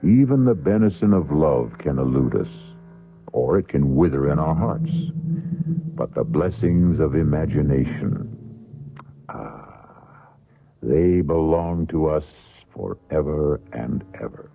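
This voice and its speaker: A man delivering a slow, dramatic scripted monologue as an old-time radio announcer. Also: rumbling low, expressive, creaky. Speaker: program announcer. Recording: phone-like old radio recording, music behind.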